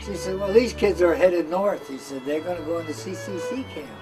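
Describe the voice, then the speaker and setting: An older man shares memories in an informal oral-history style. His voice is slightly high-pitched, and fiddle music plays clearly behind him. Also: fairly quick. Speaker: former work-camp member giving testimony.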